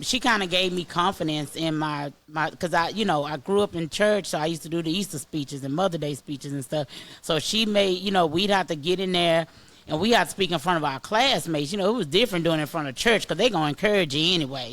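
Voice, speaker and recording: High, raspy man. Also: brisk, a bit bright. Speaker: guest, high school alum. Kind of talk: casual reminiscing chat.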